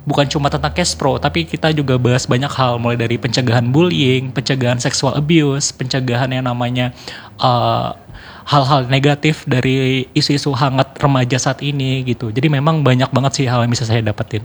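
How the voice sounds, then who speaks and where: Fast-talking young man. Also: medium pitch, fairly even intonation. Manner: explanatory, orderly, enumerating points. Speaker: youth organization board member, radio guest. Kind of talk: conversational interview answers.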